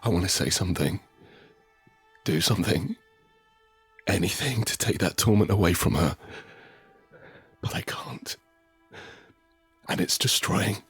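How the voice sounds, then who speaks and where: Casting: brooding male survivor-narrator in bleak audio drama, very husky, low, halting and confessional, faint music behind. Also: no room sound, heavily acted. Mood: pained, helpless. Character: anguished, guilt-ridden male survivor narrating a post-apocalyptic story.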